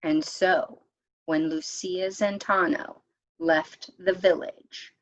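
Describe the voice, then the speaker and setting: A woman reading a children's picture book aloud in a measured, expressive storytime manner, as if to young listeners. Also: on the low side, lo-fi sound. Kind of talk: read-aloud storytime narration.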